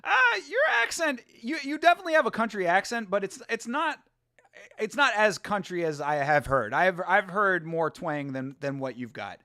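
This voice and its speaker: A man's voice: high voice, very animated, normal speed, bright, forceful tone, no echo, clean studio sound. A man hosting a casual live watch-along, talking in a rambling, laid-back way, swearing, laughing often and answering viewer comments. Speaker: host.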